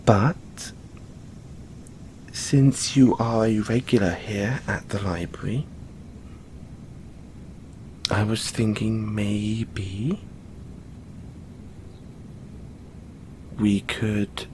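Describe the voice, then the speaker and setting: Man playing a slow-spoken, shy owl boy librarian. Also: on the low side, fairly even intonation, slight background noise. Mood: hesitant, coy. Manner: soft-spoken, hesitant, gently bashful. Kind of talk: audio roleplay addressed to the listener.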